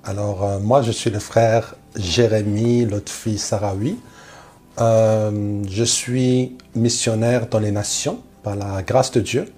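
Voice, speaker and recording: A man's voice: lowish voice, fairly even intonation, takes it slowly, mellow. A man from Algeria, a missionary guest, telling his personal religious testimony in short phrases with rhetorical questions.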